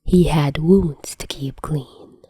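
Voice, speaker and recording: A woman's voice: deep voice, steady tone, takes it slowly, mellow, breathy edge, dry recording, studio quality. A woman narrating a story as a podfic reader, in a scripted read-aloud style.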